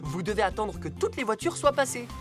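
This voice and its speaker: A man voicing an earnest, caring young rescue police car, giving clear safety instruction in a children's cartoon. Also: high voice, very animated, fairly quick, over loud music. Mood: earnest, instructive. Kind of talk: French children's cartoon dub, safety lesson.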